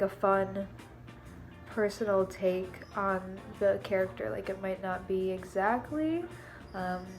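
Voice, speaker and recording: A young woman with a congested, snuffly nose talks casually to her camera from her living room, rambling and filling pauses with "um" and "like." Her voice sits at an ordinary middle pitch. Soft music plays quietly underneath. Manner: chatty and rambling, many fillers like "um" and "like". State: stuffed-up, snuffly nose from allergies. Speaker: beauty and costume vlogger.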